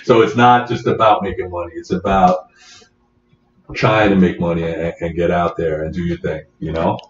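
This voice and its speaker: Low-voiced man, fast, off-mic. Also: flat delivery. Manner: upbeat, repeats words. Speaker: podcast host. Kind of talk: casual podcast interview. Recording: roomy, dull, distant mic.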